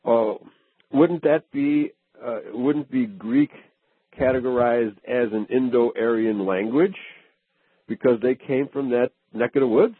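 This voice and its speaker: A man's voice: medium pitch, measured pace, breathy edge, no echo. A man hosting a radio talk show, chatting casually and checking in with listeners with frequent "okay?". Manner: asks questions, frequent "okay" and "right" affirmations. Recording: phone-like radio audio.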